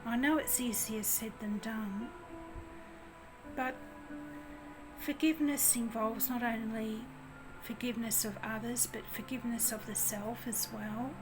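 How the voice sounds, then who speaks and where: A woman with a naturally quiet voice giving a gentle tarot reading, talking softly with pauses. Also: on the high side, fairly even intonation, over music, poor audio. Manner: gentle, soft, slow and hesitant. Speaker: tarot reader. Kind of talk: soft-spoken tarot reading.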